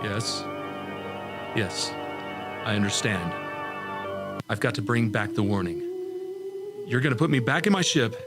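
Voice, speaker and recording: An actor in a radio drama playing a desperate test pilot, urgent, pleading and halting as he warns of doom. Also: lowish voice, huge pitch swings, over loud music. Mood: groggy.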